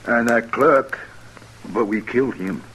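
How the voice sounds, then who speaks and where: An actor playing a dim-witted, amiable outlaw prisoner in an old-time radio western, rustic and guileless. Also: male voice, medium pitch, a little slow, a bit bright, breathy edge, low-quality recording.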